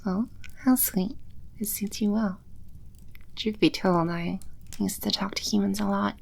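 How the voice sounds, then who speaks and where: Woman voicing Scarlet, a slow, creaky demon empress. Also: mid-range voice, steady tone, a little mellow. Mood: relaxed, candid. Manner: unhurried, coy, teasing, confident. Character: playful, teasing demon empress of the underworld, female. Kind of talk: ASMR audio roleplay, spoken close to the listener.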